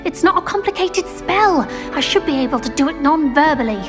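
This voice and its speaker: High-voiced, earnest British witch schoolgirl. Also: animated, a little fast, music in the background. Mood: frustrated. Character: earnest, easily frustrated teenage witch schoolgirl. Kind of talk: scripted game dialogue.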